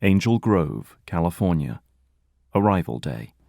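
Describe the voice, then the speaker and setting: A man's voice: deep voice, sing-song, a little slow, vocal fry, mellow, no room sound. A man narrating a scripted audio drama as storyteller.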